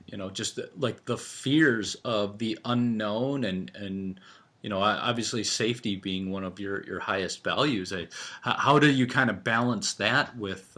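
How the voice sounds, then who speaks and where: A man with a fairly low voice hosts a relaxed interview show. He talks casually, circling and restarting as he feels his way into each question. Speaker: podcast host.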